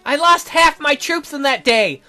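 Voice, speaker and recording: A woman's voice: high-pitched, animated, measured pace, sharp tone, soft background music, voice pushed up, full character voice. A woman voicing a proud, troubled noble lady in a visual novel read-through, with solemn, declarative delivery. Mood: vengeful.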